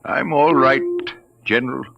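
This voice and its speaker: An actor playing an exasperated, idealistic and stubborn professor in a radio drama, insistent and dryly sarcastic. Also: male voice, mid-range voice, fairly even intonation, unhurried, gravelly, lo-fi sound. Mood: terse, confident. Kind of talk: old-time radio drama acting. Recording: radio drama with music behind.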